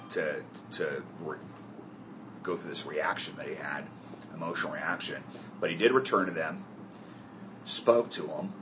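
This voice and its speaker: Deep-voiced man leading a Bible study. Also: background noise. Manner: asks the group questions, self-correcting. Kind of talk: group Bible study discussion.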